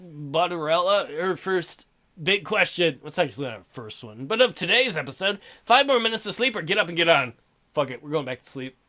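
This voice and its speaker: A man's voice: a little high, lively intonation, fairly quick, sharp tone. A man doing a let's play of a visual novel, reading it out and throwing in irreverent, crude wisecracks. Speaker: let's player.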